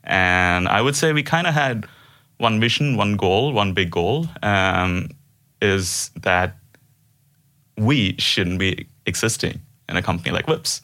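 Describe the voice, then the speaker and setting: A man's voice: lowish voice, lively intonation, slow pace, sharp tone. A man in his thirties from India, a developer guesting on a company podcast, speaking casually with many fillers and restarts. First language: not Norwegian; Indian language. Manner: hesitant, many fillers and restarts, laughs often. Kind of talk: casual interview chat.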